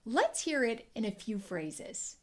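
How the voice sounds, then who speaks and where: A woman dialect coach teaching an accent lesson, explaining clearly and demonstrating sounds. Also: on the high side, big pitch swings, takes it slowly.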